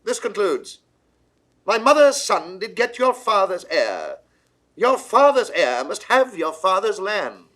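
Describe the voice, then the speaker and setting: A man's voice: high voice, very animated, slow pace, fairly bright tone, heavily acted. An actor playing an imperious, shrewd English king in declamatory, regal British classical stage style. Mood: judicial, measured. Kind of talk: 1960s classical Shakespeare audio drama acting.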